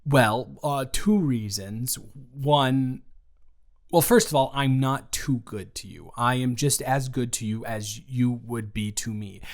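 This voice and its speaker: A caring young best friend, played by a man, speaks right to the listener in a middle-pitched voice. He is gentle and reassuring, yet blunt and honest when it counts, like a friend sitting close beside you. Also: slow. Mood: earnest, candid. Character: supportive young male best friend, blunt but kind. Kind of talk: improvised audio roleplay.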